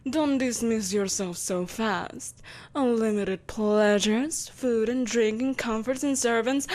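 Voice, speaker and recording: A woman's voice: a little high, a little mellow. A woman playing an imperious, seductive evil empress in a scripted roleplay audio drama, speaking in a haughty, theatrical, coaxing manner. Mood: seductive, tempting. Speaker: voice actor.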